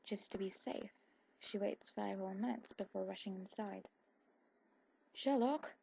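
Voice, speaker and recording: A woman with a husky voice reading a scripted story aloud in an ASMR style. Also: mid-range voice, level tone, normal speed, mellow. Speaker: fan fiction audiobook narrator.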